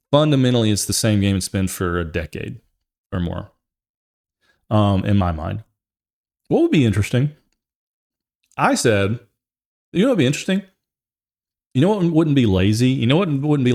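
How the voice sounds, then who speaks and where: A man's voice: fairly low pitch, big pitch swings, moderate pace, long pauses, a little mellow. A man hosting a gaming show, giving casual, opinionated commentary in a rambling, emphatic way and often repeating his phrases.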